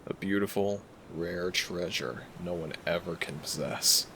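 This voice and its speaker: A man playing a gentle young dragon prince, a devoted boyfriend talking tenderly to the listener in a romantic audio roleplay. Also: low-pitched, measured pace, background noise. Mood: adoring. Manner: tender, affectionate, soft-spoken reassurance. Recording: music behind the voice. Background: background music.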